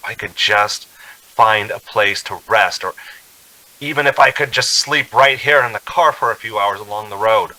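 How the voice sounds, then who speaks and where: A man's voice: lowish voice, normal speed, pushed and bright, poor audio. A man acting a frightened, desperate motorist in a radio drama, intense and anguished in delivery. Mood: haunted, brooding. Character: frightened, desperate young motorist haunted by a phantom hitchhiker.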